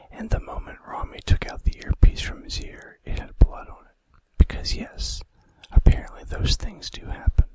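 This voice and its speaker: A man in a soft, breathy, unvoiced whisper, reading out facts in an unhurried, casual ASMR style, close to the microphone. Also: extremely high pitch, low-quality recording. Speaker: ASMR video host.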